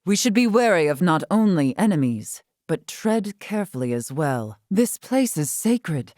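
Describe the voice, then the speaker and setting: Stately, low-voiced warrior scholar. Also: female voice, sing-song, measured pace, darkish tone, dry recording, studio quality. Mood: measured, watchful. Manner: stately, archaic formal diction. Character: dignified, formal woman warrior-scholar of a proud people. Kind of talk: scripted video game character lines.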